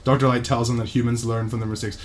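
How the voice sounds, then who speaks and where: A man's voice: mid-range voice, flat delivery, quick pace, a little hiss or hum. A man co-hosting a podcast, casually recapping an episode in a mocking, sweary way and often checking in with "right?".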